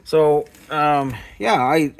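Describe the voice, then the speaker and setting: A man casually showing and explaining things as a video host, in a halting, unsure way. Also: a little high, gravelly. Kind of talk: casual show-and-tell explanation.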